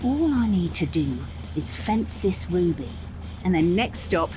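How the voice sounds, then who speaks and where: A woman's voice: fairly low pitch, very animated, unhurried, warm tone, faint music behind. A woman voicing a brash, plucky young English boat captain in a cartoon game, feisty and self-assured. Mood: pleased. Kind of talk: scripted cartoon game acting.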